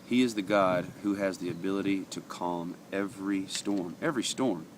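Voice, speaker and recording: A man with a very low voice talks through a daily devotional in an earnest, conversational way, stopping often on fillers. He sounds a little sniffly from allergies. Faint traffic hums behind him. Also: moderate pace. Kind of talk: casual devotional talk, reading passages aloud.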